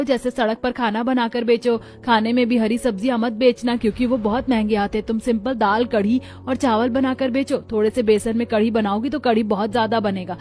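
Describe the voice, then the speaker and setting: A woman's voice: fairly high pitch, darkish tone, close to an everyday voice. A woman voicing a practical neighbour auntie in a cartoon story, giving matter-of-fact advice.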